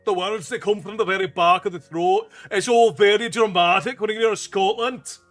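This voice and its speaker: Brief: man doing an overblown Scottish guy, throaty and raised high, big and loud. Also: fairly even intonation, normal speed, faint music behind. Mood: theatrical.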